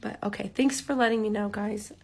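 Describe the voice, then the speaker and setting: A woman with a hoarse, raspy voice leading a live craft tutorial, chatty with lots of ums, dropping almost to a whisper as she works. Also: medium pitch, a little fast. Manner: chatty, relaxed, frequent ums, drops to near-whisper while focusing. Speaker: rock painting instructor.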